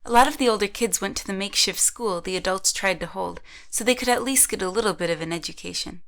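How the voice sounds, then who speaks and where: A woman's voice: medium pitch, fast talker, heavy background noise. A woman narrates a scripted audio drama. Her voice sits in the middle range, and she tells the story as a third-person narrator.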